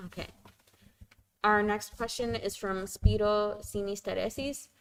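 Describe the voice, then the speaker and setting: A woman's voice: medium pitch, steady tone, measured pace, slight background noise, rough recording. A woman co-hosting a live question-and-answer chat, reading out audience questions in an informal, somewhat hesitant way.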